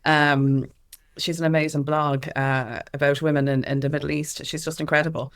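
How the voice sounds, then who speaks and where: A woman's voice: sits low, level tone, moderate pace. An Irish woman speaking as an activist guest in a candid interview, with an emphatic, impassioned way of talking.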